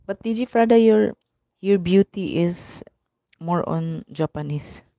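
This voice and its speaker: A young Filipino woman speaks on a live stream, her voice husky and gravelly from a lingering cough. She sounds low and mellow, and the sound is close and dry from a small mic held right at her lips. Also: no echo. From Philippines. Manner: slow, greeting viewers by name. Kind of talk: live stream chat reading viewer comments. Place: small room indoors. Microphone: clip-on mic held at the mouth.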